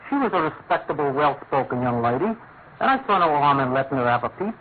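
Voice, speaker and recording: Man playing a sheepish constable, animated pitch. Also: a little fast, natural voice. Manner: chatty, apologetic, respectful. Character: earnest, deferential, sheepish male police constable. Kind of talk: classic radio drama acting. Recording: tinny, narrow-band old radio, faint noise.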